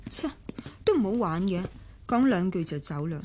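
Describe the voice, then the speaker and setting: An actress as a cheeky, animated teenage girl. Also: on the low side, normal speed, background noise, natural voice. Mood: teasing, mocking. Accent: Hong Kong. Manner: pert, teasing. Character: cheeky, mocking teenage girl, a student summer worker. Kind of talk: radio drama dialogue.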